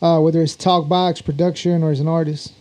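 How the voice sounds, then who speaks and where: A man's voice: fairly high pitch, level tone, fairly quick, a little mellow. A man hosting a casual interview, putting questions to his guest in an informal, conversational way. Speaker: interview host.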